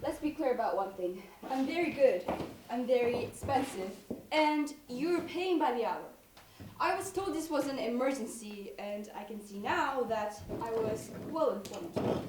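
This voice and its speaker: A young actress plays an imperious professional woman who gives orders in a high, precise voice. She is haughty and curt, in the manner of stage comedy. The recording is roomy and distant. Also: lively intonation, measured pace, a little mellow. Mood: haughty, brisk. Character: imperious, expensive professional closet organizer, bossy and brisk. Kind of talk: one-act stage comedy acting. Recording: echoey stage from far off, noisy.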